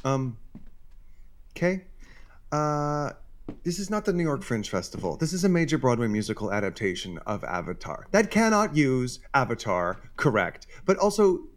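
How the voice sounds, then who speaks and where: Man playing a jittery composer, lively swooping voice. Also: mid-range voice, moderate pace, lightly acted. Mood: defensive. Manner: stammering, wry, repeats himself. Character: jittery, over-caffeinated male musical composer, anxious and defensive. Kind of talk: comedy audio drama acting.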